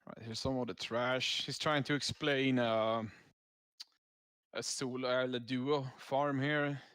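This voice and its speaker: A man's voice: medium pitch, fairly even intonation, takes it slowly, fairly bright tone, smooth, no echo, clean studio sound. A man giving casual, laid-back gameplay commentary as a raid leader, explaining tactics as he goes.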